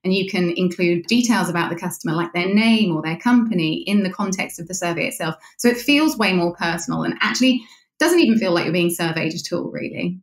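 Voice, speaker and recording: A woman's voice: on the low side, fairly quick, reverberant. A woman being interviewed, explaining a product in a conversational way.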